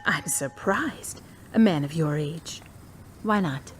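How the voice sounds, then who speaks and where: A teasing, direct woman with a low voice, bantering openly with her date as an actress plays her in a radio play. Faint hiss sits under her lines. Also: animated, takes it slowly. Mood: playful. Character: playful, frank woman, once divorced. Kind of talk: amateur radio drama.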